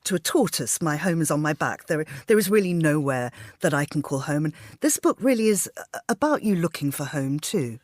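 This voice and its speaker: A woman's voice: sits low, sing-song, a little fast, hardly any pauses, a little mellow, dry recording. Female radio host, playful and chatty, dry studio mic. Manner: teasing, chatty, laughs easily. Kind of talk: radio interview.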